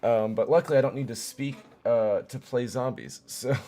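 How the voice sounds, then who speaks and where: A young man with a hoarse, fading voice chatting casually while streaming a game, greeting viewers and filling pauses with ums and likes. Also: mid-range voice, mellow. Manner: loose and chatty, many fillers, greets viewers. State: hoarse, losing his voice. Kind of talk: casual gaming livestream chat.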